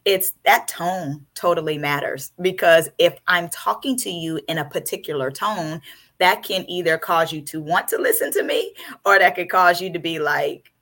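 A woman with a bright, forceful voice pitched on the low side hosts a casual podcast chat. She talks emphatically, slipping into lively quoted reactions to make her point. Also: normal speed. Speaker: podcast host.

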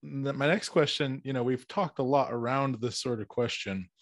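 A man's voice: mid-range voice, fairly even intonation, moderate pace. A young man with a mid-range voice in the role of interviewer. He speaks casually, building up to his questions in an informal, roundabout way. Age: young adult.

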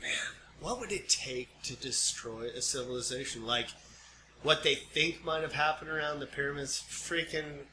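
A man's voice: fairly high pitch, moderate pace. An American man co-hosting a podcast in casual, slangy, sweary conversation. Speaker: podcast co-host. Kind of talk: casual chat. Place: home studio. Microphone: podcast microphone. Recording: clearly noisy amateur podcast recording.

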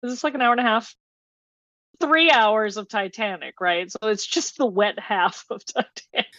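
A woman's voice: a little high, moderate pace, a bit bright, dry recording, highs cut off. A woman in her thirties bantering casually on a film podcast, sarcastic, joking and sweary. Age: 30s.

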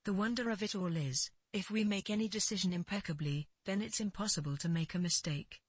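Husky-voiced narrator reading spiritual advice. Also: medium pitch, brisk, dry recording. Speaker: spiritual advisor answering a letter.

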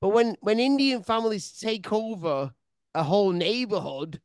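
A man's voice: high-pitched, moderate pace, clean tone, dry recording, clean studio sound. A man hosting a casual podcast chat, asking curious questions and often restarting them. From England. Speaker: podcast host.

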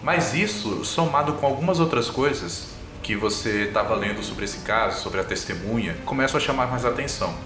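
A man's voice: medium pitch, lively intonation, normal speed, few pauses. Brazilian game master, man, echoey room. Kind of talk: narrating a tabletop game. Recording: roomy echo, music underneath.

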